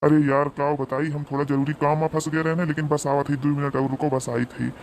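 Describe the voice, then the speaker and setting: A man's voice: fairly high pitch, level tone, a little fast, gravelly, a little mellow, a little hiss or hum. A man voicing a casual, excuse-making young village lover in a cartoon comedy, placating in an Awadhi accent.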